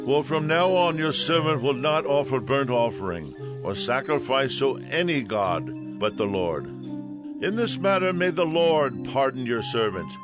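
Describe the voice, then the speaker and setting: An actor playing a proud, earnest army commander in a dramatized scripture production. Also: male voice, medium pitch, animated, a little slow, music in the background, lightly acted. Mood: humbled, earnest. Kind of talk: dramatized scripture audio acting.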